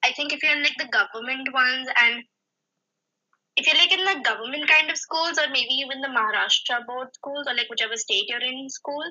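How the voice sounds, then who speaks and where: A woman's voice: sits high, brisk, bright, forceful tone, breathy edge, lo-fi sound. A young woman from India chatting as a guest, rambling with many restarts and fillers. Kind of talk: casual chat.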